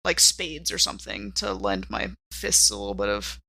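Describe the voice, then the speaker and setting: Bright-voiced woman, playful gamer. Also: very deep voice, big pitch swings, moderate pace, creaky, slightly rough, dry recording. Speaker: player in a tabletop game. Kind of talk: casual improvised tabletop game talk. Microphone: close studio microphone.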